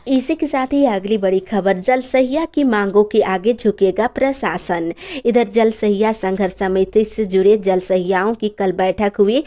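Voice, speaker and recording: A woman's voice: medium pitch, warm tone. A woman reading regional news headlines and reports as a scripted bulletin. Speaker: news reader.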